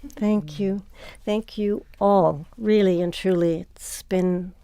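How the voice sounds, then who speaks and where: A woman host speaking in a measured, deliberate way as she moderates an arts discussion. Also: medium pitch, steady tone, warm tone, smooth, no echo.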